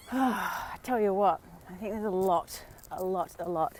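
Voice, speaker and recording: Aussie woman doing a live vlog on a walk, bit croaky from a sore throat, chatty, lots of ums. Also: medium pitch, slow pace, a little mellow, a little hiss or hum. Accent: Australian. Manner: chatty, encouraging, many fillers. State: slightly hoarse from a sore throat. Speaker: vlogger and dating coach. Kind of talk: casual live-stream talk. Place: outdoors on a walk.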